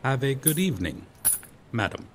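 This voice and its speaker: A man's voice: mid-range voice, very animated, moderate pace, noisy background, lightly acted. A man playing a proud, courteous medieval knight out of his time in an audio roleplay, with formal, archaic, theatrical phrasing. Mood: generous. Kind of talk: ASMR roleplay acting.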